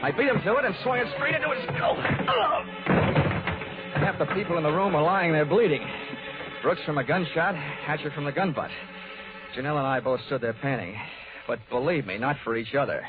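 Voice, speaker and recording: Male actor as wisecracking American investigator, rapid delivery, 1940s radio drama, narrow phone-like sound, music. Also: a little high, expressive, natural voice. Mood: tense narration. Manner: brisk, wry, tough-talking. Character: wisecracking hard-boiled insurance investigator man. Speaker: lead actor and narrator.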